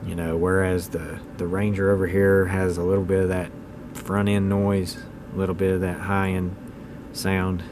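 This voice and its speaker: A man's voice: deep voice, completely flat delivery, moderate pace, noisy background. A man in his thirties giving a casual, unscripted hobbyist video talk in a plainspoken, opinionated, folksy way. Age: 30s. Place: indoors at home.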